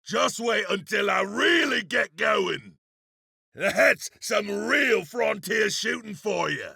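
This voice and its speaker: An actor playing a seasoned, courteous frontier gunslinger in a video game, with a gravelly, raspy voice and a folksy drawling manner. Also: male voice, high voice, lively intonation, slow, pushed and bright, dry recording, raised voice, clean studio sound, exaggerated voice. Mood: grimly pleased. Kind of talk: video game character voice lines.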